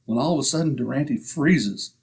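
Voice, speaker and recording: A man's voice: medium pitch, normal speed, creaky, darkish tone, slightly rough. A man playing a blustery, boastful middle-aged husband with folksy, slangy grumbling in an old-time radio comedy.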